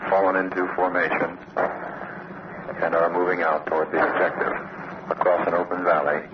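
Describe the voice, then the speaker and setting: A low, monotone man reports tersely from a battlefront. It is an old field radio recording, with very loud gunfire and blasts around him. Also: moderate pace, highs cut off, heavy background noise. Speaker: war correspondent. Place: outdoors at a battlefront. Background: gunfire and mortar blasts.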